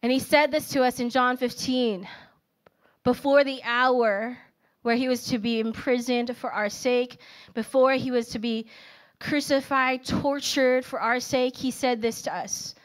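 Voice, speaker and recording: Animated woman preaching on a church stage. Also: fairly high pitch, measured pace. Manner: animated and personal, filler-heavy storytelling. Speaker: speaker at a young adults' service. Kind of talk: conversational sermon. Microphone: handheld microphone.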